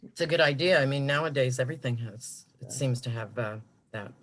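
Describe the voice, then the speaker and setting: A man from an animation studio who explains ideas calmly, step by step with fillers, in a video call meeting. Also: mid-range voice, fairly quick.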